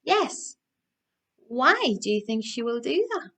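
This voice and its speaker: Slow-paced woman entertaining young children. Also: on the high side. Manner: animated, encouraging, addressing young children with questions. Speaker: children's storyteller and craft presenter. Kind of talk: interactive children's storytelling and instruction.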